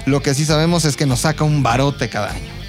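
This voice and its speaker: A man's voice: fairly high pitch, quick pace. A man with a Mexican accent telling history as casual gossip, explaining informally with slang and imagined quotes. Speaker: podcast co-host telling history. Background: background music.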